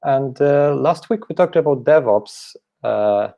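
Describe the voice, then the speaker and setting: A male host opens a weekly live stream in an informal, easygoing way. His voice is gravelly with a dark, mellow tone. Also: medium pitch, animated, unhurried.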